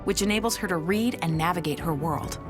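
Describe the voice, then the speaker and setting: A woman's voice: on the low side, brisk. A woman narrating a scripted corporate promotional video in a polished, upbeat announcer style. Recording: studio voice-over with music bed. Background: music.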